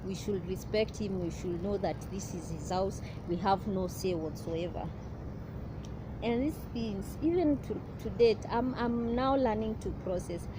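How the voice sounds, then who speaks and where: A young woman talks in a personal vlog, earnest and halting, often restarting her sentences. Her voice sits at an ordinary middle pitch. Clear outdoor traffic noise runs behind her. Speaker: vlogger.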